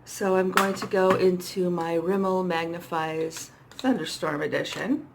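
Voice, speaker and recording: Hoarse lady doing casual makeup chat, lowish creaky voice, bit of room noise behind her. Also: a little slow. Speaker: makeup vlogger. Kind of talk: casual makeup tutorial chat, talking to herself while working. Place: small indoor setup with dark backdrop.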